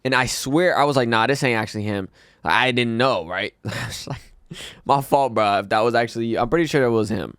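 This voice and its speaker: A man hosting a reaction video, talking to viewers in an excitable, slangy, casual way. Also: mid-range voice, fairly quick, clean tone, dry recording, studio quality. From Brazil.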